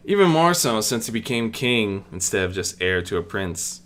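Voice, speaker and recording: An ancient goddess of life, as a narrator puts her on, speaking with calm, regal formality. She sounds motherly and indulgent, and her pitch moves freely through each storybook line. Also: normal speed. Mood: fond, amused. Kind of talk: amateur audiobook character reading.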